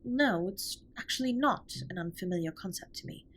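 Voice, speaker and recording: Husky, formal alien captain, voiced by a woman. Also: medium pitch, animated, fairly bright tone. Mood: thoughtful, solemn. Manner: formal, measured, precise wording with few contractions. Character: formal, caring alien spaceship captain, earnest and protective. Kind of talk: scripted roleplay audio drama, intimate comfort monologue.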